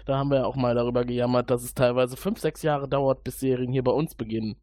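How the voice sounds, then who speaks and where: A man's voice: medium pitch, steady tone, quick pace, mellow, no room sound, clean studio sound. A man chatting casually as a podcast host.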